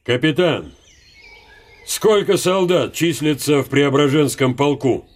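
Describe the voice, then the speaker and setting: An elderly military commander in a radio play. The voice is raised a little in pitch, and every phrase comes out curt and imperious, as orders to subordinates. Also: male voice, takes it slowly. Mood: curt, commanding. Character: old male field marshal, commanding, curt, imperious. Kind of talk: radio drama acting.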